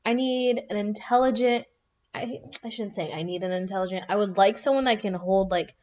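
A woman's voice: medium pitch, fairly quick, a little mellow. Mid-20s woman, dry teasing cohost, muffled lo-fi sound. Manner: teasing, dry, asks pointed questions. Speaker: podcast co-host. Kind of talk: casual chat.

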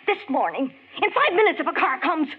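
A woman's voice: high-pitched, big pitch swings, a little fast. A frantic young desert woman. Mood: bitter. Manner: pleading, frantic. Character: desperate young woman trapped at a desert gas station. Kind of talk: 1940s radio acting. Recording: tinny old radio broadcast.

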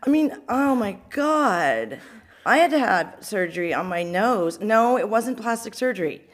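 A woman's voice: mid-range voice, expressive, unhurried. A woman co-hosting a casual advice show, talking in a blunt, emphatic, exclamatory way with strong opinions.

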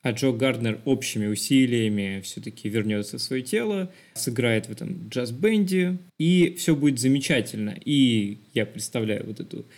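A man's voice: medium pitch, lively intonation, moderate pace, hardly any pauses, darkish tone. Man talking through a film discussion expressively. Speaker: podcast co-host.

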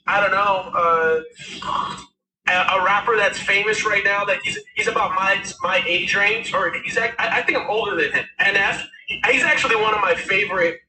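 A raspy-voiced man in his thirties, a musician guest telling his story in a rambling, casual way with many fillers. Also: sits high, moderate pace, pushed and bright, reverberant, far from the mic, a little hiss or hum, rough recording. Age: 30s. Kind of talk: casual interview talk.

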